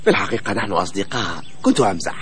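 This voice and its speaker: A man's voice: sits low, expressive, very fast, fairly bright tone, slight background noise. A man voicing a sly, gloating, hungry mongoose in a children's cartoon dub. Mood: smooth-talking. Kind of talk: children's cartoon dubbing in formal Arabic.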